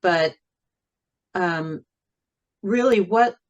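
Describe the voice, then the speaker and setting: A woman with a slightly low voice answers as an interview guest. She speaks very slowly and reflectively, weighing her phrases and leaving frequent pauses as she goes. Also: muffled recording. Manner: measured, thoughtful, with frequent pauses. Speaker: interview guest, singer and writer.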